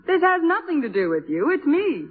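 Cool high-voiced young wife. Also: female voice, big pitch swings, brisk. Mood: restless, resolved. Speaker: actress in radio drama. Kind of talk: 1950s radio drama acting. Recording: muffled, band-limited 1950s radio recording.

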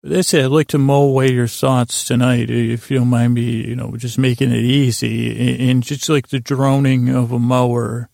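Man, heavy vocal fry, halting rambling sleep podcast host, digressive, very dry close recording. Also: medium pitch, flat delivery, darkish tone, no echo. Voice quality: heavily creaky, gravelly. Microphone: close podcast microphone.

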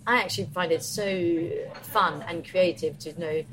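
A woman chef and cookbook author chatting casually about cooking, lively and laughing often. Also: fairly low pitch, moderate pace, faint music behind, rough recording. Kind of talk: casual chat.

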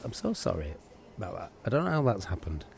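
A man's voice: mid-range voice, huge pitch swings, brisk, pushed and bright, faint music behind, full character voice. A man playing a meek, stammering coffee shop worker in an improvised comedy sketch, repetitive and over-apologetic but prone to sweary rage.

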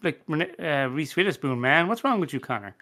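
A man on a podcast panel chatting casually, teasing and halting as he repeats himself. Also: fairly high pitch, sing-song, pushed and bright. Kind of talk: casual banter.

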